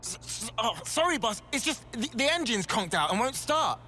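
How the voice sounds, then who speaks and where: Flustered, bright-voiced young mechanic. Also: mid-range voice, big pitch swings, normal speed. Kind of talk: game cutscene acting.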